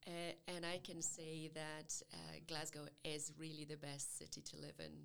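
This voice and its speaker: A woman's voice: sits low, completely flat delivery, moderate pace, vocal fry, fairly bright tone, clear, resonant tone. A woman from Poland with a Polish accent, a psychology lecturer in an interview, speaking carefully with many hesitations. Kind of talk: interview about university study.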